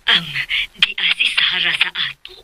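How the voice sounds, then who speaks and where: A woman's voice: on the low side, fairly even intonation, measured pace, over loud music, close to an everyday voice. An actress playing a firm, worried middle-aged mother in a radio drama, with a Filipino accent. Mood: matter-of-fact.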